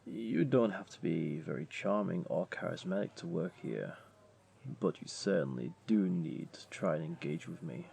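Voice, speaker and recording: A man playing a flirty, comforting jaguar beastling in an intimate audio roleplay, gently coaxing the listener along. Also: sits low, lively intonation, normal speed, creaky, exaggerated voice. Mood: curious, coaxing. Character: flirty, comforting male jaguar beastling, patient restaurant owner.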